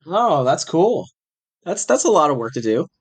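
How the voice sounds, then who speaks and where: A man's voice: a little high, very animated, moderate pace, husky. A man in his forties hosting a podcast, chatting casually and rambling with restarts, a little sick with a cough. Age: 40s. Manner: rambling, self-correcting, chatty. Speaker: podcast host.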